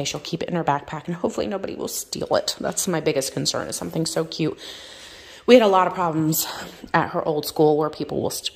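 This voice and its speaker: A woman in her thirties, a mom and vlogger, chatting casually at her kitchen counter with lots of fillers. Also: on the low side. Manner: chatty, rambling, filler-heavy. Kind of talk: casual show-and-tell vlog. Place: home kitchen. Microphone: phone camera held over a countertop.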